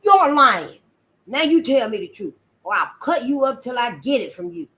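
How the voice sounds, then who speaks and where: A woman's voice: medium pitch, moderate pace, fairly bright tone, natural voice. A woman voicing a furious middle-aged plantation master who growls threats at a boy. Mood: threatening. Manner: growling, menacing.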